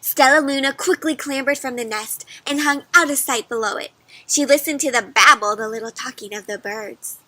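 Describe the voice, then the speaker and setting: A woman doing an interactive picture-book read-aloud for children, expressive and pausing to ask her young listeners questions in chatty asides. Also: sits high, no echo.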